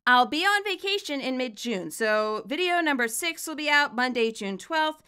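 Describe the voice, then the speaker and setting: Bright, animated woman narrating a story. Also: sits high, moderate pace, clean tone. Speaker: author reading own fan fiction. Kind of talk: expressive scripted story narration.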